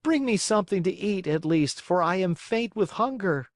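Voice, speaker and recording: A man voicing an excitable, pleading wooden puppet boy in a storybook reading. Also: high voice, moderate pace, dry recording, studio quality, pitched above the performer's own voice. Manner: eager, pleading.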